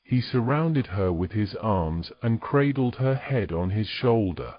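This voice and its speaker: A man's voice: lowish voice, lively intonation, a little slow, dry recording, highs cut off. A man reading scripted narration as the storyteller of a romantic fantasy audio drama. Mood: tender.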